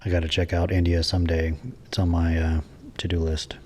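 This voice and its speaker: A man's voice: low voice, completely flat delivery, a little fast, darkish tone, a little hiss or hum. A man co-hosting an audio room, chatting casually and keeping the small talk upbeat with a guest. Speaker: co-host interviewing a guest. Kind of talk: casual chat.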